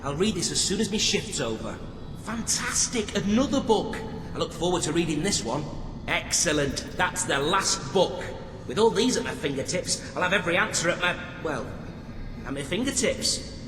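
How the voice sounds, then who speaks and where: An actor playing a dutiful, eager, slightly awkward prison guard in a video game, deferential and earnest. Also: male voice, on the high side, expressive, moderate pace, music in the background, close to an everyday voice. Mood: eager, pleased. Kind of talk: video game character acting. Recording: echoey hall with noisy ambience.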